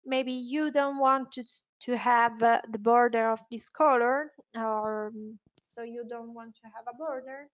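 Female software trainer, Italian accent, fairly high voice, unhurried and hesitant, explaining step by step. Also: fairly even intonation, lo-fi sound. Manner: hesitant, unscripted explaining with self-corrections. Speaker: webinar presenter, software trainer.

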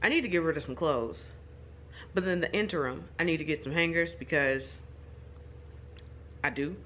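A woman's voice: sits low, normal speed, fairly bright tone. Casting: woman vlogger, chatty and self-deprecating, faint background hiss. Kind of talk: casual vlog talking to camera. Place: home, then in her car. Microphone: phone or camera, self-filmed.

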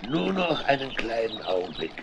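An actor playing a jovial, boastful old vagabond trader in a children's radio play, with a folksy, exclamatory manner. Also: male voice, mid-range voice, big pitch swings, normal speed, gravelly, muffled recording, very noisy. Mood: jovial, chatty.

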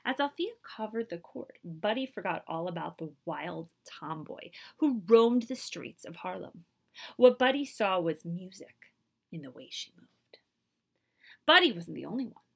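Animated woman reading a picture book aloud. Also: lowish voice, unhurried, vocal fry, husky. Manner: animated, asks listeners questions. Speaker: librarian reading aloud to children. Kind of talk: expressive picture-book read-aloud with asides. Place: small room indoors.

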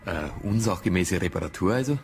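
Low-voiced Bavarian detective. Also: male voice, animated, a little fast. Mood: methodical. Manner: methodical, probing. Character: male police detective, methodical, probing, persistent. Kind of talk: naturalistic radio crime drama acting.

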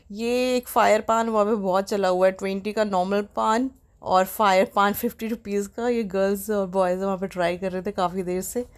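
A young woman narrating her travel vlog in a casual, chatty way, often excited and mixing in English phrases. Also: mid-range voice. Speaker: travel vlogger.

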